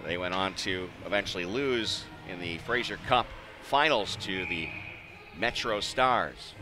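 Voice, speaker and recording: An American man doing live radio-style play-by-play hockey commentary, measured in routine play and excitable on big plays. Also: low voice, over music. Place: indoor ice arena. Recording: noisy live rink broadcast.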